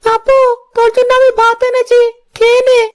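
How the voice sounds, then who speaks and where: Woman voicing a squeaky-high, earnest little boy. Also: flat delivery, moderate pace. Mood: protective, earnest. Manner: earnest, childlike. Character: caring, protective little stepbrother, a small village boy. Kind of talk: cartoon moral-story dialogue. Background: loud music.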